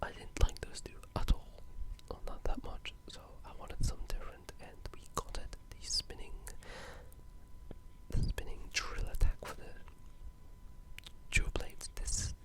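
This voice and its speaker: Whispered, rambling ASMR gaming commentary. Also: a little slow. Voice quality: breathy unvoiced whisper. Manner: rambling, enthusiastic. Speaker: gaming channel creator.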